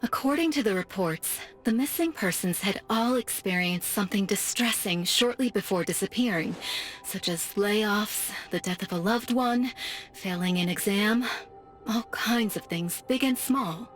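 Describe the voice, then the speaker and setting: An actress voices a composed, serious woman briefing her team in a video game. Her mid-pitched voice is measured and explanatory, with faint music underneath. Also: lightly acted, rough recording.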